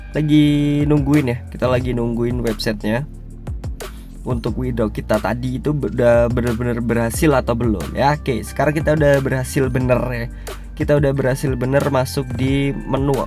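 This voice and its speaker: A man, hoarse and unwell, giving a rambling, slangy screen-recorded tutorial and often clearing his throat. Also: mid-range voice, steady tone, moderate pace, music in the background. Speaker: YouTuber reviewing crypto sites.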